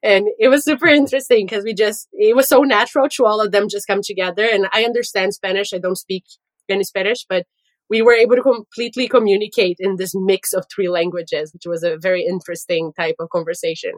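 A woman's voice: medium pitch, steady tone, quick pace, no room sound, rough recording. A young woman from Brazil, whose first language is Portuguese, chatting as a podcast guest and laughing through her stories. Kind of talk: casual chat.

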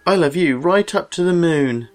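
A man's voice: fairly high pitch, expressive, moderate pace, pitched above the performer's own voice, lightly acted. A man voicing an eager, affectionate little boy hare in a storybook reading, earnest and childlike, with music behind. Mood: eager, loving.

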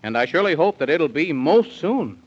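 A man narrating a 1930s children's radio serial, husky and confiding, in a singsong manner aimed at young listeners. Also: fairly high pitch, sing-song, moderate pace, heavy background noise. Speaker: storyteller, announcer. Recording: muffled 1930s radio recording.

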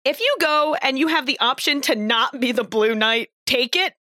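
A woman with a hoarse, strained voice, chatting casually as a podcast co-host. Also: sits high, normal speed, dry recording, studio quality. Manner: animated, swears freely. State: hoarse, lost voice. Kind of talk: casual chat.

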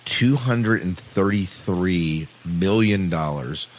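A man hosting a casual podcast discussion, speaking a little haltingly and repeating words. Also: low voice, low-quality recording. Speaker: podcast host.

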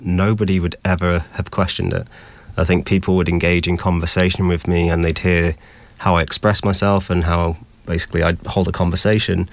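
A young man with a British accent giving an articulate, thoughtful personal account as an interview guest. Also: low voice. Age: young adult. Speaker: interview guest sharing his experience.

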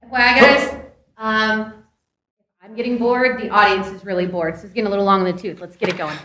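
A woman's voice: mid-range voice, fairly even intonation, brisk, fairly bright tone, faint music behind. A woman speaking bluntly as a guest podcaster at a live comedy show. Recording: echoey live venue, faint noise.

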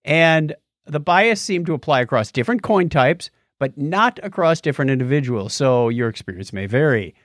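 A male podcast co-host chats casually and comments on news with wry jokes. His voice sits a little high and swings expressively, in a dry recording. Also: animated, moderate pace, no echo.

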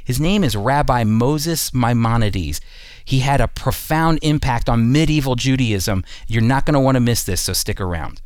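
A man's voice: medium pitch, expressive, moderate pace, darkish tone. A man hosting a radio Bible program, teaching in an earnest, emphatic, sermon-like way and addressing listeners directly. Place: studio.

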